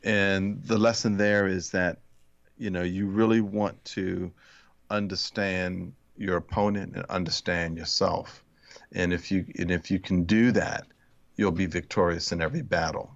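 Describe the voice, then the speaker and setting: A man's voice: low voice, steady tone, measured pace, vocal fry, no room sound, lo-fi sound. A man from the Philadelphia area being interviewed as an author, speaking reflectively with many restarts and hesitations. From Philadelphia area, United States. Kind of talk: casual interview chat.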